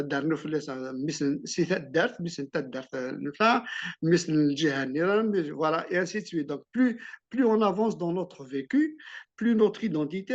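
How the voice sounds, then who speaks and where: A man from Algeria, an author answering interview questions in a reflective way, often restarting and repeating words. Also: on the high side. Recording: muffled lo-fi sound.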